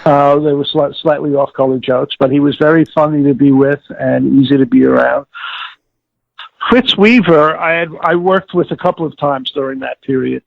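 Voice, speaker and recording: A man being interviewed, recalling past work in a hesitant, halting, unscripted way. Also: fairly high pitch, creaky, no echo. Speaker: interview guest, audiobook professional. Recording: thin, narrow phone-like line.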